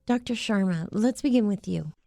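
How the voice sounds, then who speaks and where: A woman's voice: mid-range voice, normal speed, gravelly, dark tone, no echo. Woman podcast host, dry close sound. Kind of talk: scripted show intro and interview questions.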